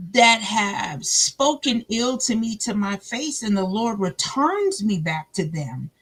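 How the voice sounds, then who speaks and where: A woman with a big, loud voice talks through her faith story as a guest. She is a little higher than most women and speaks at an unhurried pace. She jumps into her own dialogue and acts out both sides. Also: poor audio. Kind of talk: faith testimony chat.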